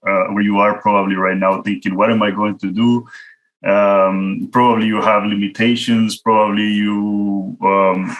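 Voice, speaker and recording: A man's voice: fairly low pitch, a bit bright, rough recording. A man from El Salvador with a Central American Spanish accent, telling his career story as a guest speaker in a conversational way, speaking directly to listeners. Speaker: guest speaker, product manager. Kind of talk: informal career talk, autobiographical storytelling. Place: online virtual event.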